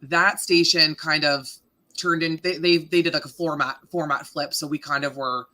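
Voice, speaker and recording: A woman in her thirties from Canada with a deep voice, a radio morning host chatting casually in an interview, laughing often and filling with ums. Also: fairly even intonation, normal speed, bright, forceful tone. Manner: chatty, laughing, self-deprecating, many fillers.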